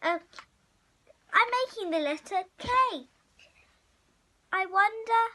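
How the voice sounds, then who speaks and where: A child reading a picture storybook aloud expressively, acting out the hero and halting over some words. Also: extremely high pitch, sharp tone. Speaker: story reader.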